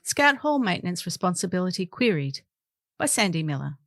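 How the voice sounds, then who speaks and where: A woman's voice: on the low side, very animated, brisk, a bit bright. A woman reading local newspaper articles aloud as scripted narration. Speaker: volunteer newspaper reader.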